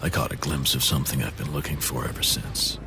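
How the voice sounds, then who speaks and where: An actor playing a grim, haunted vigilante, narrating in a solemn, measured way. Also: male voice, very deep voice, gravelly, background noise. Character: brooding masked vigilante, haunted and grim, adult man. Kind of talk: scripted dramatic narration. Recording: loud music over voice.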